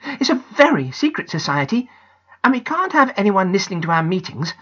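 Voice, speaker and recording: A woman voicing an excitable young schoolgirl, high and pleading, in a slightly dull recording. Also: a little fast, breathy edge. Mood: eager excited.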